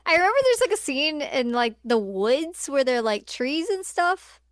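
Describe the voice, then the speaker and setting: A woman's voice: high-pitched, big pitch swings, moderate pace, dry recording, studio quality. A woman co-hosting a podcast, reviewing films in casual, playful and agreeable conversation. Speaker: podcast co-host. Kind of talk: casual film review chat.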